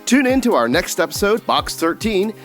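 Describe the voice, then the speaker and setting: A man's voice: high-pitched, sing-song, normal speed, fairly bright tone, soft background music. A man giving a scripted announcer read of show credits.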